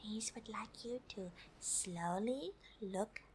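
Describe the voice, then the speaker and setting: A woman voicing a gentle, polite female alien for an ASMR roleplay, in a soft breathy whisper with slow, hesitant, stilted English. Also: mid-range voice. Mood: gentle, encouraging. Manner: slow, deliberate, hesitant, refers to herself in third person.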